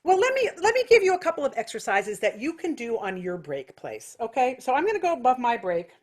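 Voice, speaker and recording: A woman's voice: fairly high pitch, sing-song, fairly quick. A woman giving an informal singing lesson as a vocal technique teacher, explaining things with vivid imagery.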